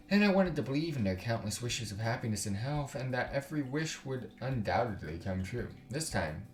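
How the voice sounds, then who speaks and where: A man's voice: on the low side, darkish tone, roomy sound. A man hosting a game stream, mixing blunt, slangy commentary with reading the game's text aloud.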